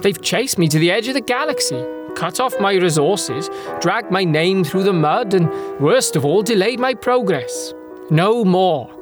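A woman's voice: lowish voice, animated, unhurried, music in the background. A woman voicing a villainous mad scientist in a sci-fi audio drama, smug, grandiose and mock-courteous. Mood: grandiose ranting.

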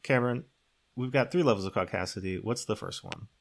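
A man's voice: lowish voice, a little fast, vocal fry. A man co-hosting a podcast in a casual, conversational, joking style. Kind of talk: casual comedic podcast chat.

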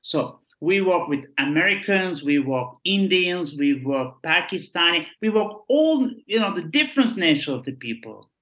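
A man's voice: on the high side, sing-song, normal speed, hardly any pauses, fairly bright tone. Earnest man with a Turkish accent. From Turkey. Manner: broken English, earnest and pleading. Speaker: guest, former cruise ship staff. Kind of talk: casual interview chat.

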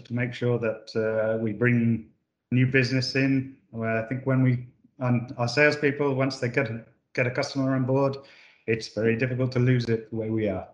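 A man's voice: mid-range voice, reverberant, lo-fi sound. A man from the UK, a managing director answering interview questions with many fillers and restarts. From United Kingdom. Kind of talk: corporate podcast interview.

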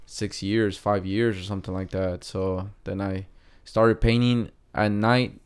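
A man's voice: on the low side, fairly even intonation, a little slow, clean tone. A man in his thirties from Mexico with a Mexican accent, a guest artist talking hesitantly in a casual interview, with many fillers and restarts.

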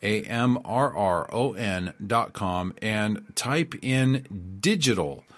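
A man's voice: lowish voice, lively intonation, slow. A man hosting a radio show, talking informally in an earnest, explanatory way. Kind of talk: informal instructional monologue.

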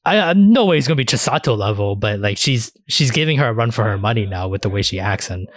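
A man's voice: lowish voice, animated, fast, dry recording. A man podcast host in casual discussion, rambling enthusiastically and swearing freely. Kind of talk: casual anime discussion chat.

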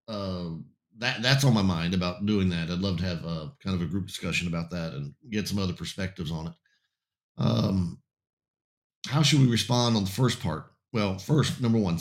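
A man with a very low, deep voice hosts a casual talk show, his throat going raspy as he loses his voice. He talks haltingly, stacking fillers and false starts, then turns blunt and emphatic. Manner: halting, many fillers and restarts. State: losing his voice, getting raspy. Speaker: host.

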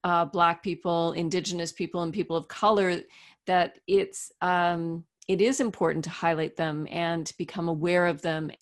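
A woman hosting a podcast interview, speaking thoughtfully and hesitantly, restarting her phrases. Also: lowish voice. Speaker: podcast host. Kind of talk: conversational interview.

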